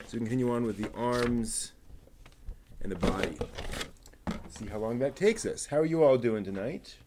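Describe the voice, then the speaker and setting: A man's voice: mid-range voice, lively intonation, background noise. A man hosting a livestream, chatting casually and thinking aloud while he assembles a model kit. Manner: unhurried, thinking aloud, muttering to himself.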